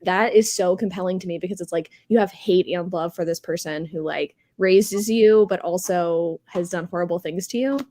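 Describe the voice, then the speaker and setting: A woman's voice: lowish voice, moderate pace, hardly any pauses, warm tone, dry recording. A woman talks casually as a book show co-host. She sounds candid and reflective, and her voice is recorded dry, with very little room around it.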